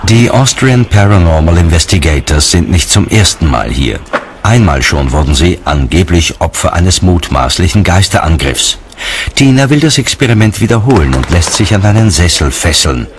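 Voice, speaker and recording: Very deep male documentary narrator. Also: expressive, normal speed, noisy background. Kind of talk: scripted narration.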